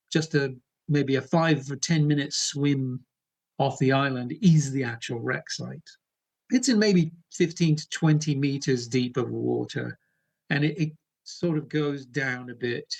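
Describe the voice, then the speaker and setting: A middle-aged man, an archaeologist, explaining things in an interview in a relaxed way, with fillers and restarts. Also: medium pitch. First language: English. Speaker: archaeologist guest.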